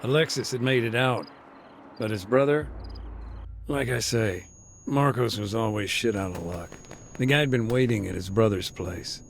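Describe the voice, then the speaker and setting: An actor playing a weary, earnest humanitarian worker recounting a war in a measured, hesitant way for a video game. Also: male voice, fairly low pitch, gravelly, slight background noise. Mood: somber, weary. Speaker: humanitarian aid worker being interviewed. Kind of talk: naturalistic video game dialogue, interview recollection.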